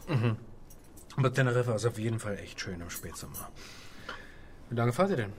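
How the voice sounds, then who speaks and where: A man's voice: mid-range voice, expressive, a little fast, noisy background, natural voice. Man acting an easygoing husband, faint ambience behind. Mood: friendly. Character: easygoing, caring husband at home. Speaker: supporting actor. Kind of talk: naturalistic radio drama dialogue.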